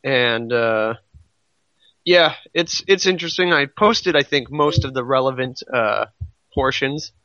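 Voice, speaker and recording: A man's voice: mid-range voice, a little slow, bright, forceful tone, no room sound, dull audio. A man in a casual podcast discussion of manga, rambling a little as he thinks aloud. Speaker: podcast co-host.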